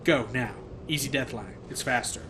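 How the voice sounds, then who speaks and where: A man's voice: mid-range voice, moderate pace, fairly bright tone, natural voice. A man voicing a brave, bossy young girl who gives firm commands to a monster dog in a storybook reading. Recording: noticeable background noise.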